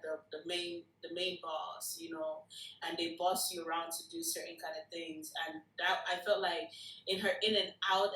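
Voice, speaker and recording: Woman, slightly low voice, informal and rambling, feeling for words, roomy echoing sound. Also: bright, forceful tone. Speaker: script reader giving feedback. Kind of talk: casual discussion. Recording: echoey room sound.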